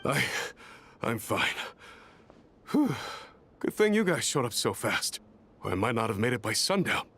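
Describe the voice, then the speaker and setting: A man's voice: mid-range voice, sing-song, a little slow, a bit bright, slight background noise. An actor playing an earnest, fussy male caravan worker in a video game, explaining things precisely. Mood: relieved.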